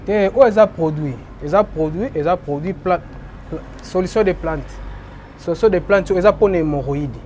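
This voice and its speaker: High-voiced Congolese healer man, repetitive. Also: normal speed, darkish tone, slight background noise. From Congo (Boma). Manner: repetitive, emphatic, trailing off low. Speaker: traditional healer. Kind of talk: interview, describing remedies.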